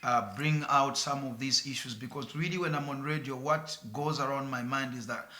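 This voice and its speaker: A man's voice: medium pitch, normal speed, sharp tone, roomy sound. A man with a Zambian accent, a radio presenter answering interview questions earnestly with some hesitation. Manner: earnest, mixes in local phrases, some hesitation.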